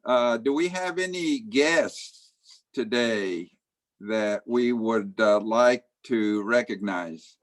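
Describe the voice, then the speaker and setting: Man chairing a video-call meeting, slow delivery, halting and filler-heavy. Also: medium pitch. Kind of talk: online club meeting.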